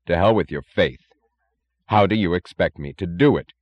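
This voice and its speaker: A man voicing a calm, blunt industrialist who speaks in a cold, matter-of-fact, logical way. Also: deep voice, moderate pace, no echo, dull audio, close to an everyday voice. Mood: blunt, dismissive. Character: calm, blunt, defiant male steel industrialist, coldly logical.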